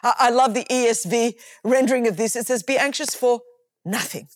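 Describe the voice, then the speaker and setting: An Australian woman preaches in a bright, high-pitched voice, emphatic and animated and full of conversational asides. She often repeats words as she builds her points. The recording is dry and close, with no room sound. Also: brisk, dry recording. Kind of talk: sermon.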